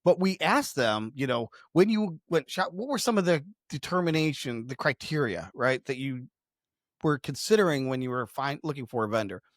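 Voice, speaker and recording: A man's voice: medium pitch, lively intonation, moderate pace, dry recording, clean studio sound. A man explaining ideas conversationally as a business podcast co-host, emphatic and slipping into imagined customer quotes.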